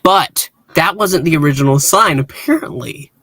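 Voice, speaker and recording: A man's voice: fairly high pitch, moderate pace, fairly bright tone, no room sound. Dry-sounding man, close and wry. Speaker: podcast co-host. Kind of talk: casual fan news chat.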